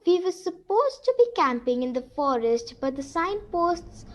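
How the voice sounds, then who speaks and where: A woman's voice: very high voice, very animated, normal speed. A female performer playing a polite, sensible girl, an earnest older-sister type, in a student radio play. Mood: apologetic, earnest.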